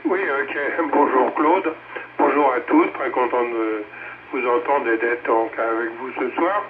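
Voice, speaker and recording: A man's voice: medium pitch, measured pace. Older male radio amateur, casual rambling talk, very narrow tinny transmission, noisy. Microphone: two-way radio transmission.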